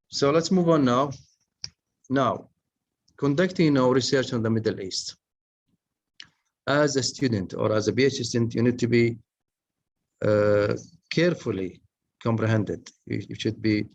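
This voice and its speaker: Halting man lecturing over muffled audio. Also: medium pitch. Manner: halting, many fillers and restarts, non-native phrasing. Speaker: webinar speaker on research methods. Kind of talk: lecture to postgraduate students.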